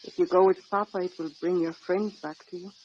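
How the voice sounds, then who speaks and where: An actress playing a gentle, persuasive young woman with slightly formal English in an old-time radio drama. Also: on the low side, steady tone, fairly quick, close to an everyday voice. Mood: persuading. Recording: dull lo-fi vintage radio recording.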